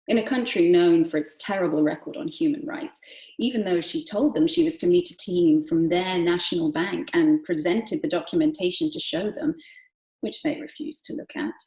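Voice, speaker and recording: A woman reads literary prose aloud in a fairly low voice. Her delivery is dramatic and expressive, shifting between hushed and emphatic. The room around her voice is clearly echoey. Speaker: actor reading from a novel.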